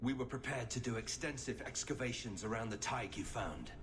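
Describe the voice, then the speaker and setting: An actor playing a stoic, dutiful warrior in a fantasy game, grave and commanding. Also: male voice, medium pitch, brisk, natural voice. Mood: grave, focused. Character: stoic male warrior warden, serious and dutiful.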